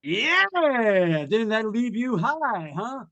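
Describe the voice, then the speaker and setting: A high, very lively voice for an excitable late-night jazz disc jockey , played by a man. He is jovial and wisecracking, chatting to listeners in showy, folksy patter in the manner of old-time radio drama. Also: drawn-out words, vocal fry, muffled recording, very noisy, exaggerated voice.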